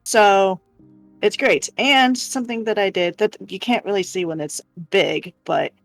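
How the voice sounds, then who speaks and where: A woman giving a casual show-and-tell of her crafts on a livestream, explaining in a halting, self-interrupting way. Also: mid-range voice, no echo, soft background music. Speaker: crafter showing crochet projects on a livestream.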